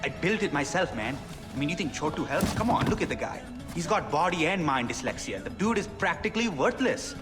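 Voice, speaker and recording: An actor playing an excitable young pirate-radio DJ in a video game, chattering fast with slang. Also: male voice, on the high side. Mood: excited. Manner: fast, animated, self-promoting chatter with slang. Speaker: radio host character. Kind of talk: video game cutscene acting. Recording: game audio with music and hall reverb.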